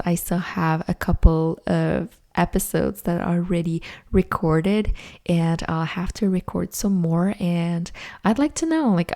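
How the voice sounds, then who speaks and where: A woman's voice: fairly low pitch, gravelly, mellow. A young adult woman with a French Canadian accent, a native French speaker, hosting her own podcast in an earnest, chatty way from her home office, with many "like" fillers. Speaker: podcast host. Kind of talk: solo podcast monologue.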